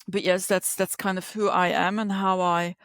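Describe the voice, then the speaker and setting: A woman's voice: on the low side, level tone, moderate pace, fairly bright tone, dry recording, studio quality. Thoughtful Belgian-born woman guest. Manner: thoughtful, with many ums and restarts. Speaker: podcast guest, psychologist and coach. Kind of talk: conversational interview.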